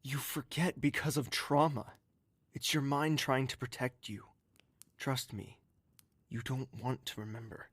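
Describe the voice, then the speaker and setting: Gentle courtly man, dry sound. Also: medium pitch, a little slow, husky, dry recording. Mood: reassuring. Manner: soft, earnest, reassuring, formal. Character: gentle, courtly, protective confidant with a wounded past. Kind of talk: earnest audio drama acting.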